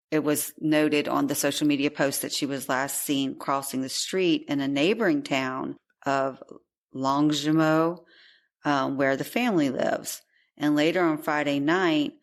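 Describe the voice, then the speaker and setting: A woman with a low-pitched voice co-hosts a true crime podcast. She recounts the case in a chatty, conversational way, reading out the details as she goes. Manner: chatty, laughs easily. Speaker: podcast co-host.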